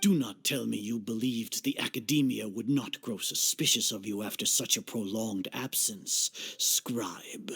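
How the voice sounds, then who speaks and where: An actor playing a pompous, coldly menacing older grand sage in a video game dub, formal and condescending, with a husky voice. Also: male voice, lowish voice, a little slow, few pauses, a bit bright, exaggerated voice. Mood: smug, menacing.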